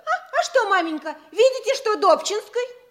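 An eager young daughter in an old stage comedy. Her voice is extremely high and girlish, with lively melodic swings. She is theatrical and gently contrary. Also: normal speed. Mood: eager, insistent.

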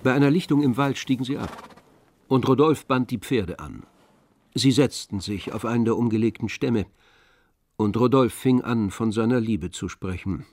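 A man's voice: mid-range voice, moderate pace, a little mellow. A man reading scripted literary narration as the narrator of an audio drama.

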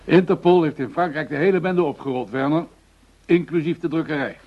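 An actor playing a matter-of-fact, cautioning police official in a radio drama, measured and serious. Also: male voice, fairly high pitch, natural voice. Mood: sober, warning. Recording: dull lo-fi radio drama recording.

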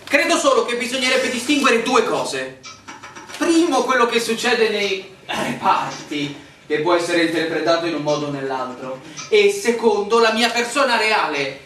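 An actor playing a stubborn land surveyor in a radio drama, politely challenging officials with irony. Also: male voice, high-pitched, sing-song, moderate pace, sharp tone, exaggerated voice. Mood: insistent, ironic. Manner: polite but challenging, ironic. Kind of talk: theatrical radio drama dialogue. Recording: roomy, distant, faint background noise.

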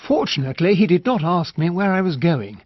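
Earnest English gentleman, Received Pronunciation, polite narrator, husky, radio drama, dry and muffled recording. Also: sits high, lively intonation, brisk, dry recording. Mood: narrating. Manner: polite, earnest, well-spoken. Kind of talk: radio drama acting and first-person narration.

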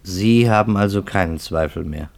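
An actor playing a calm, probing investigator in a 1950s radio drama, measured and quietly challenging. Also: male voice, low-pitched.

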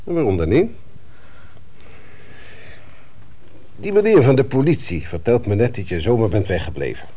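An actor playing a fatherly but sceptical family doctor in a Dutch radio play, questioning deliberately with thoughtful hums. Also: lowish voice, very animated, warm tone. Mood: probing, friendly.

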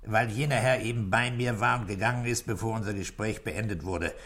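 Calm police commissioner, lowish voice, measured and polite, old radio play. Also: male voice. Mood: courteous, measured. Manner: measured, matter-of-fact, courteous. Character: composed, patient police commissioner, probing and courteous. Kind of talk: 1960s German radio play acting. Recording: vintage 1960s radio drama recording.

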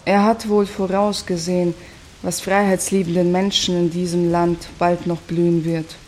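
A woman's voice: fairly low pitch, little pitch movement, normal speed, a little mellow, a little hiss or hum, natural voice. An actress playing a bitter, suspicious commune woman in a radio play, telling her account in an accusing, sardonic way. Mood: grave, knowing.

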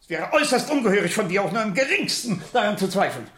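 A man playing an evasive, irritable uncle in a German radio crime drama, halting and easily indignant. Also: sits high, big pitch swings, a bit bright, a little hiss or hum. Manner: hesitant, halting, turning indignant when pressed. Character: evasive, irritable uncle questioned by his teenage niece.

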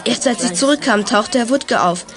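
A woman's voice: fairly high pitch, expressive, normal speed, fairly bright tone. A woman playing a tough, impulsive teenage boy in a children's radio play, earnestly telling his story in short sentences. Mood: aggrieved, earnest.

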